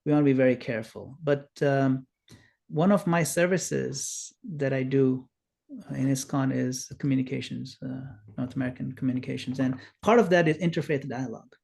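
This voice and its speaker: A man's voice: medium pitch, fairly even intonation, moderate pace, gravelly, a little mellow. A middle-aged man from Kenya giving an earnest, explanatory spiritual talk over a video call, with fillers. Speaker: guest speaker.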